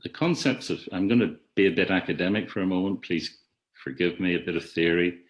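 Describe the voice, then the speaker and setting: Man, very low voice, academic webinar lecture over a video call, measured and reflective, restarts often. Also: dull audio. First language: not an Irish speaker. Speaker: webinar speaker. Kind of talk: academic talk.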